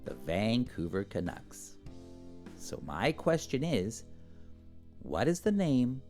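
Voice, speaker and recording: A man from Canada, giving a video lesson to young pupils in slow, simple, friendly speech. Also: medium pitch, wildly swooping pitch, dark tone, faint music behind. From Canada, British Columbia. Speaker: English teacher for children. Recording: roomy echo.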